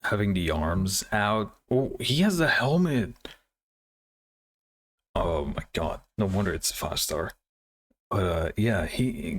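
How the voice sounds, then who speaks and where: A man hosting a reaction video, commenting casually and thinking aloud in an informal, easily amazed way. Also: deep voice.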